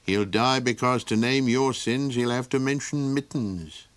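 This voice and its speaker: A grave, ominous traveller from a storybook, delivering measured, hushed warnings. A man gives him voice in a close, dry recording. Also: mid-range voice, no room sound, close to an everyday voice.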